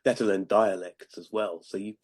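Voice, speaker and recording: Husky low-voiced male interviewer. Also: steady tone, moderate pace. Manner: polite, warm questions, trailing off softly. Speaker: interview host. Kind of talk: podcast interview.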